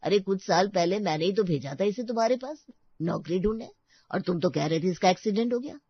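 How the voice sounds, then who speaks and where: Woman doing an emotional excitable village man, mid pitch, melodramatic, muffled story audio. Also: a little fast, husky, no room sound. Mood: exasperated.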